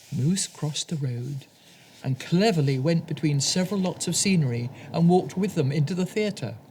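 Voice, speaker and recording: A woman storyteller reads a children's tale at a very unhurried pace. Her voice is low for a woman, and her delivery is gentle and deliberate. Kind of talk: scripted children's story narration.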